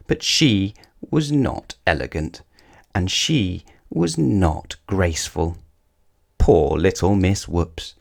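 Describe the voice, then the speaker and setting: A man reading a children's picture book aloud as a storyteller, with deliberate, dramatic emphasis. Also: lowish voice, vocal fry. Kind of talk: expressive scripted children's story narration.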